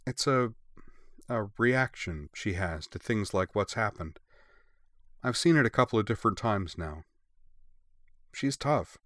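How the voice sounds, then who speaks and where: A man voicing a sombre, reassuring girl in an audiobook story, measured and explanatory. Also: on the low side, lively intonation, a bit bright, no echo, studio quality. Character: young grown-up-sounding girl, sombre, gentle, reassuring. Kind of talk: audiobook character dialogue.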